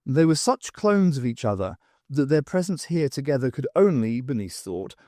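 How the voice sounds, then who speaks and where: A man reading fiction as an audiobook narrator, with expressive scripted delivery. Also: mid-range voice, normal speed, dry recording, studio quality.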